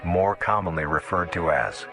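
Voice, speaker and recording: Male narrator, low-pitched, measured and formal, scripted documentary script, clear music underneath. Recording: voice over background music.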